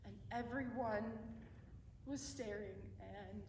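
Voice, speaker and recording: A young woman performing a dramatic monologue as a remorseful mother writing to her estranged son, speaking haltingly with stumbling restarts. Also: mid-range voice, darkish tone. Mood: anxious. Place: competition room, indoors. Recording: echoey room, distant mic, faint background hum.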